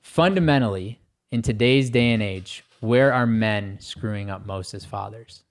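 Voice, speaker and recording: A man hosting a podcast, asking questions and backing up his guest with soft agreeing murmurs. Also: fairly low pitch, a little slow. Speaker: podcast host. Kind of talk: casual interview talk.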